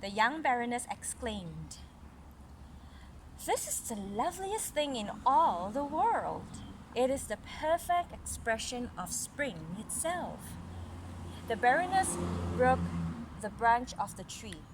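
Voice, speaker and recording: A woman reading a picture book aloud to a young child, with gentle, encouraging, chatty asides to explain the pictures. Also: a little high, very animated, slow pace. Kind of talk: casual read-aloud storytelling with chatty asides. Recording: noisy recording with vehicle-like background hum.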